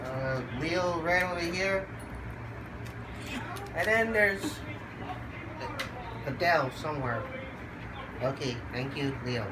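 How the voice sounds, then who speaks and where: A stuffed-up vlogger with a small cold hosts a relaxed unboxing, talking slowly and pausing often on fillers. The voice sits in a middle register and is heard over steady background noise. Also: mid-range voice. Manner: halting, lots of fillers, chats to the dogs; slow, laid-back. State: congested from a cold. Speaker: channel host unboxing a computer. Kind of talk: casual unboxing vlog.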